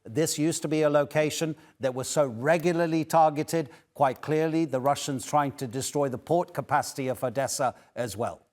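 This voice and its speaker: A man anchoring a news interview, asking long multi-part questions in a measured way. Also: fairly high pitch, darkish tone.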